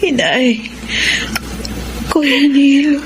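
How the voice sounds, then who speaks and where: Slow, high-voiced heartbroken daughter. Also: female voice, background noise, heavily acted. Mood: ashamed, tearful. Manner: slow, tearful, pleading. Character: heartbroken, ashamed daughter abandoned by her lover. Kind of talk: Filipino radio drama acting. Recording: loud music under the voice. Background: music.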